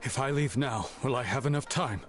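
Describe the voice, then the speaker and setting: A man's voice: mid-range voice, a little fast, soft background music, natural voice. A man playing a hesitant, worried townsman in a video game. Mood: anxious. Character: anxious, hesitant townsman torn by worry.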